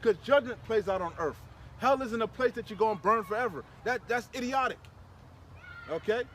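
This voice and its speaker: A man's voice: high voice, normal speed, a bit bright. A man preaching informally and fierily on scripture, emphatic and repetitive, with plenty of slang. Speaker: street preacher leading a scripture discussion. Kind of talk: fiery informal preaching, commenting on scripture read aloud. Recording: outdoor recording with clear traffic noise.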